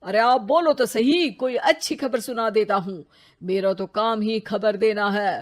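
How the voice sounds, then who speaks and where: A woman's voice: a little high, unhurried. A woman voicing a chatty male magic television newsreader that teases the family in a cartoon. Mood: cheeky. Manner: cheeky, teasing, talkative. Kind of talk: Hindi cartoon voice acting.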